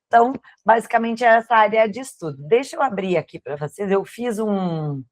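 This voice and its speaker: A woman's voice: mid-range voice, a little fast, no echo, clean studio sound. A woman from southern Brazil with a gaúcho accent, presenting as a guest expert and explaining in a conversational way, often answering her own questions. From Rio Grande do Sul, southern Brazil. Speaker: tax lawyer and lecturer, guest speaker. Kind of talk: explanatory presentation to an online audience.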